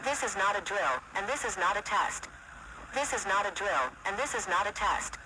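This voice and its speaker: A man's voice: high-pitched, very animated, moderate pace. A man reading a scripted emergency alert in a formal, official announcer's manner. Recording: clearly noisy radio-like broadcast audio.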